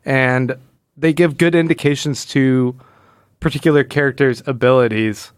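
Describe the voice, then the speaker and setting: A man's voice: mid-range voice, steady tone, moderate pace, clear, resonant tone, no room sound, clean studio sound. A man hosting a podcast, chatting casually and analytically, acting out quoted lines as he retells. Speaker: podcast host. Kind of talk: casual comic book analysis chat.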